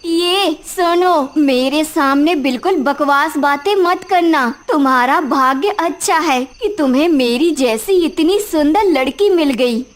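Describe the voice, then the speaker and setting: Woman acting a very high-pitched, vain young daughter-in-law. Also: unhurried, few pauses. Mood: haughty. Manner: haughty, taunting. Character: vain, spiteful young daughter-in-law proud of her beauty. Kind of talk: cartoon moral-story acting.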